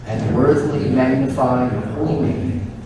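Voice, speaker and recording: A man speaking as a priest leading church worship, solemn and measured, in liturgy and prayer. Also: mid-range voice, fairly even intonation. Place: church, indoors. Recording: echoey church from far off, noisy. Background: noise, room ambience.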